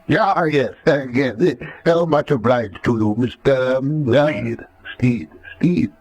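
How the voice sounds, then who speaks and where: An actor playing an absent-minded, fussy, polite eye doctor who stammers and repeats himself. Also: male voice, medium pitch, slow pace, a little mellow, no echo, faint music behind. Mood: flustered, fussy. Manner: stammering, repeats words urgently.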